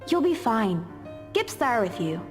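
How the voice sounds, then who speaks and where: A brash teenage schoolgirl, cocky and teasing, with a high, lively voice. Her lines carry a long reverb over faint game music. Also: unhurried. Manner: cocky, teasing, tough talk.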